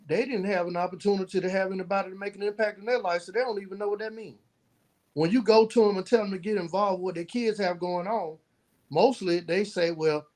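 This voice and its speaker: An American man with a high-pitched voice speaks as a guest on a podcast. He answers conversationally and earnestly, stacking his points one after another. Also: darkish tone. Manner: earnest, building points in lists. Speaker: podcast guest, youth mentor and nonprofit founder.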